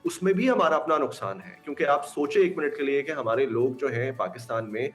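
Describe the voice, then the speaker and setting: A man's voice: mid-range voice, brisk, a bit bright. A Pakistani-accented man talks as an interview guest in a mid-range voice. He explains his points earnestly, stressing key words and slipping into English, with faint music behind him. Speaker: expert guest. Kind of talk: interview commentary mixing Urdu and English. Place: remote video call.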